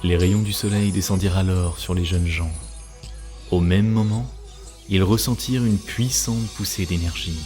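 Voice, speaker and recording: A man narrating a cartoon story from a script in a solemn, measured way. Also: sits low, steady tone. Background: music.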